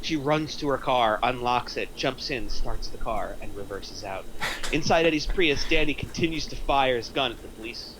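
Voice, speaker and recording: Guy reading script action lines, mid-pitched, bit of noise behind. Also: animated, normal speed. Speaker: narrator reading stage directions. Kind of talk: scripted screenplay narration.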